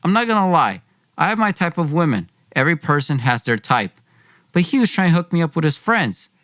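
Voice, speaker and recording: A man's voice: a little high, big pitch swings, fairly quick, a bit bright, dry recording, clean studio sound. A male podcast host telling a personal story in a colloquial, earnest way, re-enacting bits of dialogue as he goes.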